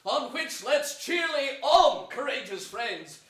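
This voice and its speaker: Noble young earl, distant and echoing. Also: male voice, very high voice, expressive, slow pace, a bit bright, heavily acted. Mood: rousing. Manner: declamatory, earnest. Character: noble, hopeful young rebel earl rallying troops. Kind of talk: theatrical Shakespearean staged reading. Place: theater stage before an audience, indoors. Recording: echoey theater, distant microphone.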